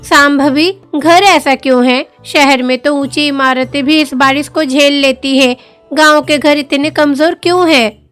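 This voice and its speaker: Spoiled city woman in a cartoon, played by a woman, whiny and high. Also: steady tone, unhurried, fairly bright tone, soft background music. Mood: fussy. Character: fussy spoiled city woman, complaining relative.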